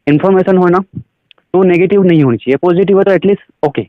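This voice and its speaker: Male direct-selling recruiter, Indian accent, slightly raised pitch, explanatory and question-led, on a lo-fi phone recording. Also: fairly quick, no room sound. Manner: explanatory, question-led, mixes in English phrases. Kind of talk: sales pitch on a phone call. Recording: dull lo-fi recorded phone call.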